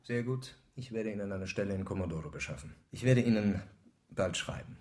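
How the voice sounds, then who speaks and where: An actor playing a sober, probing older gentleman in a radio play, speaking in a measured, dramatic way. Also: fairly low pitch, lively intonation, close to an everyday voice. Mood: sober, helpful. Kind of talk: German radio drama acting.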